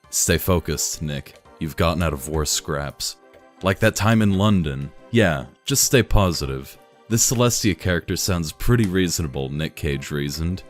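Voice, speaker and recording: Man, very low voice, deadpan, reading a fan story aloud. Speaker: reader.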